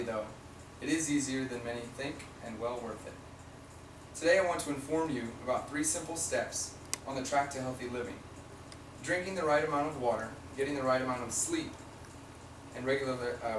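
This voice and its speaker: Raspy young man, student speech, picked up from far off in an echoey room with faint hum. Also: mid-range voice. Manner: reads from prepared notes with fillers and restarts. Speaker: student giving a class speech. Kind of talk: informative class speech. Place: classroom.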